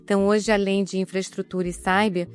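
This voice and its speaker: Woman, 50s, carioca accent, smooth resonant voice, measured panel talk, faint music behind. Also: mid-range voice, flat delivery. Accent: Carioca Portuguese, softened. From Rio de Janeiro, Brazil. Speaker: panel guest, tech executive. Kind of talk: panel discussion.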